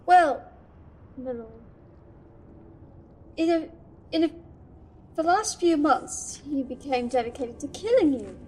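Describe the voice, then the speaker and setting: Woman acting a high-voiced, flustered Sith archivist. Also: animated, slow pace, long pauses, a little mellow, a little hiss or hum, poor audio. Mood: eager, deferential. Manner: nervous, stammering, deferential, bossy to her assistant. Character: flustered, eager scholarly female archive curator serving a Sith. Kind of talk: fan audio drama acting.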